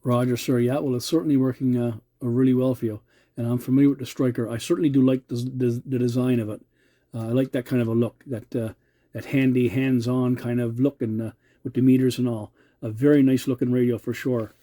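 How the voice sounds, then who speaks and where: Older-ish guy doing relaxed radio chat, really soft dark tone, dry close sound, polite and hesitant, repeats himself. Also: mid-range voice, brisk, no echo. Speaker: amateur radio operator hosting a video channel. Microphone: cell phone filming the radio.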